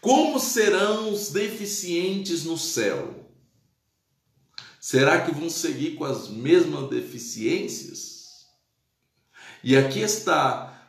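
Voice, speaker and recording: Man preaching slow in an echoey room, keeps asking "tá?", spanish creeping into his portuguese. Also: a little high. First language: Spanish influence. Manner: preachy, exclaims praise, checks in with "tá?". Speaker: pastor. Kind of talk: live-streamed Bible teaching.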